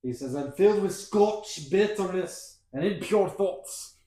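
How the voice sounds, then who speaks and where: A man on a casual podcast recaps a film scene by scene and tosses in joking asides. His voice is mellow and dark. The sound is roomy, with the echo of an untreated room. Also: high-pitched, expressive, unhurried. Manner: walks through the plot with playful asides. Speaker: podcast host. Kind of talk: casual movie recap chat.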